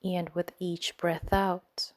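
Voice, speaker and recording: A woman leading a guided sleep meditation in a soothing, gentle manner, speaking in short phrases with unhurried pauses. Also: on the low side, level tone.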